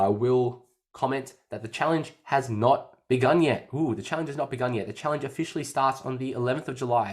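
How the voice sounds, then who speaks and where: A man, a fitness coach hosting a casual podcast, slightly nasal after an illness and chatty, often repeating phrases. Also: medium pitch.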